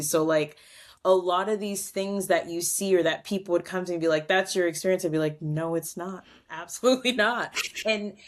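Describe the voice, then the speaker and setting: A woman guest on a podcast interview, talking in a loose, reflective way, acting out quoted voices and using plenty of "like" fillers. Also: mid-range voice. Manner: animated, acts out quoted voices, many "like" fillers; reflective, long-winded explanations. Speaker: guest, culture strategist.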